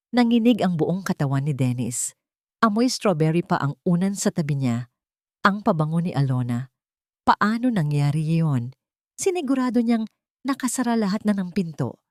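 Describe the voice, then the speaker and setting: A woman's voice: deep voice, darkish tone, no room sound, clean studio sound. A woman with a Filipino accent narrating a dramatic story as a scripted audiobook.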